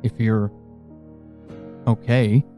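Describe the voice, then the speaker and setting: A man playing a worried, frustrated friend in an audio drama monologue, speaking haltingly and intensely. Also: fairly low pitch, level tone, mellow, soft background music, voice pushed down. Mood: worried, resigned.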